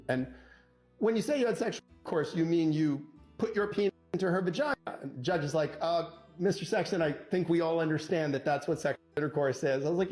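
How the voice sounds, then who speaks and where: A man telling a courtroom anecdote as a divorce lawyer, in a punchy conversational way, acting out quoted dialogue with dramatic pauses. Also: a little high, darkish tone. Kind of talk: animated conversational storytelling.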